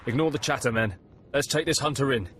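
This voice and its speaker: An actor playing an arrogant, bigoted imperial sergeant who barks contemptuous orders in a video game cutscene. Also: male voice, mid-range voice, quick pace, fairly bright tone, slight background noise, natural voice. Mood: barking.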